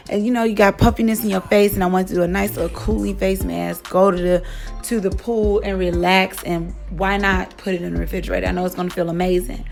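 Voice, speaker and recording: Young woman beauty vlogger, casual rambling chat, a bit hoarse, faint music underneath. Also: mid-range voice. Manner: chatty, rambling, many fillers. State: slightly hoarse, losing her voice. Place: vacation lodging, indoors.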